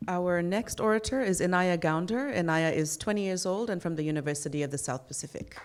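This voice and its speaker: A woman's voice: on the low side, brisk, slight background noise. A woman emcee formally introducing the next speaker. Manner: brief, formal. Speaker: event emcee. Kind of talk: announcing speakers.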